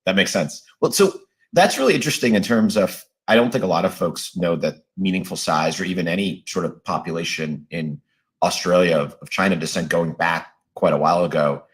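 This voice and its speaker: A man with a very deep voice hosts a casual interview. He asks long, friendly questions loaded with fillers. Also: a little fast. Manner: friendly, chatty, filler-heavy.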